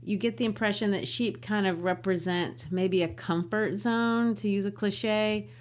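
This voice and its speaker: A woman co-hosting a casual book discussion podcast, talking in a lively way and laughing easily. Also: medium pitch, measured pace. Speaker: podcast co-host.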